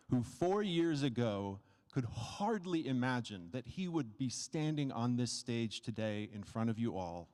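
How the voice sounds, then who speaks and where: A man, a project advisor, giving a formal stage introduction in measured, deliberate phrases with pauses. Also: fairly low pitch, darkish tone. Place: stage in a large hall. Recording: echoing hall with long reverb.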